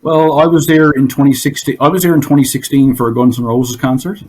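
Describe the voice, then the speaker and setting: A hoarse man hosting a casual podcast from his basement, rambling through stories and laughing at his own jokes. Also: medium pitch, steady tone, fairly quick, noisy background. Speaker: podcast host. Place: home basement.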